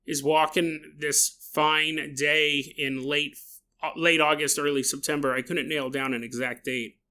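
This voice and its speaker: A man's voice: on the high side, steady tone, measured pace, bright, forceful tone. A man hosting a podcast, telling a strange story in a jokey way, often laughing and adding side remarks. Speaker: podcast host. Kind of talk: comedic paranormal storytelling.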